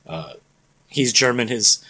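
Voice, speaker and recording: A man's voice: mid-range voice, animated, takes it slowly, bright, forceful tone. A man hosting a podcast, chatting casually and thinking aloud as he rambles through a story. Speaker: podcast host.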